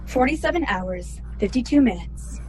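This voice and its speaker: A woman playing a calm, obedient spaceship computer in a sci-fi radio play, speaking formally, precisely and in measured phrases. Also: a little high, slight background noise, close to an everyday voice, poor audio.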